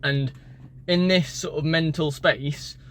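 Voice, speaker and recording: A man's voice: fairly high pitch, fairly even intonation, slow pace, fairly bright tone. A man, a native English speaker, talking casually in a vlog about learning languages, tired after the gym and rambling with many fillers and restarts. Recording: street and car noise behind.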